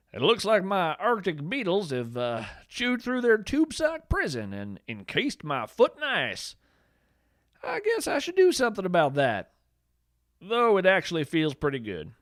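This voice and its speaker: A man acts out a folksy field naturalist with a very lively, swooping delivery, recorded dry and close. He tells whimsical tales of strange creatures with earnest enthusiasm, as in a podcast monologue. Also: high-pitched, no echo, full character voice. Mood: unhurried, wry. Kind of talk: first-person storytelling, fiction podcast monologue.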